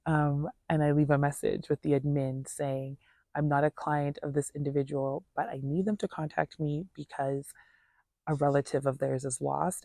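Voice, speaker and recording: Low-voiced woman telling a personal story. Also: creaky, darkish tone, no room sound. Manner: earnest, conversational, acts out reported dialogue. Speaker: storyteller vlogger.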